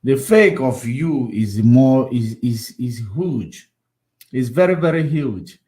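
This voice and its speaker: A mid-pitched man hosts a live political stream in a combative, repetitive way. He swings between loud outbursts and quiet muttering. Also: expressive, takes it slowly. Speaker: livestream host. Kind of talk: casual political commentary livestream.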